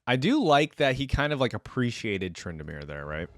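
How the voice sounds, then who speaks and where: A man's voice: medium pitch, very animated, normal speed. A man hosting a reaction video, commenting in a casual, chatty, informal way with frequent interjections.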